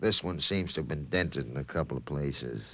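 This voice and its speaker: An actor playing a ruthless, domineering industrialist in a 1950s radio drama, speaking in a commanding, impatient, bombastic way. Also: male voice, very deep voice, moderate pace. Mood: brisk, businesslike. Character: ruthless, domineering male industrialist obsessed with conquering space. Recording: noisy old 1950s radio recording.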